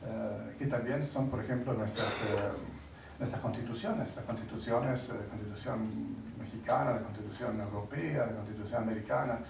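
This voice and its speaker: Gravelly man lecturing in an echoey hall. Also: fairly low pitch, noisy background. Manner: halting, searching for words with many fillers; wry, conversational. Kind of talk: lecture to an audience. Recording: echoey hall, mic at a distance.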